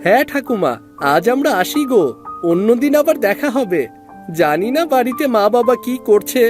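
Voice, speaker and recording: A woman's voice: a little high, expressive, moderate pace, darkish tone, soft background music, close to an everyday voice. A woman voicing a polite, earnest young boy, respectful and full of wonder, in a children's cartoon. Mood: concerned.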